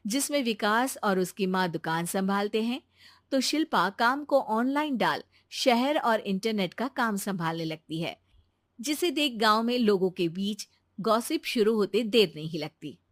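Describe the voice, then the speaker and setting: A woman narrating a cartoon moral story in a calm, even storytelling manner. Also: mid-range voice, unhurried, no echo, clean studio sound.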